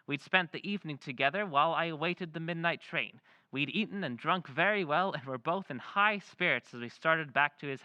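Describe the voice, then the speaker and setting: An actor voicing a genteel letter-writer reading his letter aloud in an old-time radio drama, measured and confiding. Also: fairly high pitch, bright, forceful tone, no room sound, rough recording.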